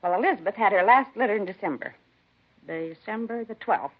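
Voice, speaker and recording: A woman's voice: medium pitch, lively intonation, a little fast, breathy edge. An American woman acting a nosy, gossipy, indignant middle-aged neighbor who dotes on her cats, in a 1950s radio drama. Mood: chatty. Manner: chatty, indignant, rambling. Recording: dull 1950s radio broadcast recording.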